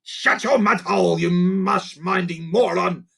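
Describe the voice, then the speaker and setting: Guy doing a gruff cheeky creaker monster, gravelly, mangles his words. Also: sits high, a little slow, pushed and bright, voice pushed up, full character voice. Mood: snarling. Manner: growly creature voice with odd grammar. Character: sly male creaker creature, gruff and cheeky.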